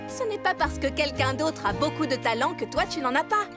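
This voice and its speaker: An expressive, encouraging young female cartoon character. The pitch is very high and leaps around a lot, with prominent children's music behind it. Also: brisk. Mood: reassuring.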